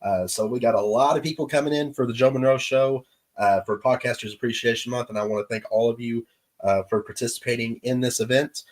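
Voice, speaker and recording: A man's voice: medium pitch, fairly even intonation. Stuffy-nosed man hosting a live stream. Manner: easygoing, halting with many pauses. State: stuffy nose from allergies. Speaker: show host. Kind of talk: casual livestream chat with viewers.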